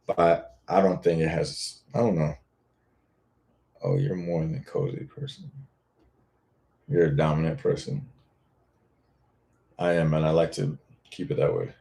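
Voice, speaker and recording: A man's voice: rumbling low, level tone, a little slow, creaky, pauses often, dark tone. A young man hosting a live Q&A, chatting casually and frankly, reading viewer comments and swearing now and then. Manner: laid-back, rambling, frank with casual swearing. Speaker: boyfriend-audio creator hosting a live Q&A.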